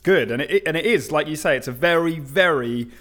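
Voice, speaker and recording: A man's voice: a little high, fairly quick, fairly bright tone. A man co-hosting a casual podcast, easygoing and hesitant, often restarting his sentences. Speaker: podcast co-host. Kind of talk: casual chat.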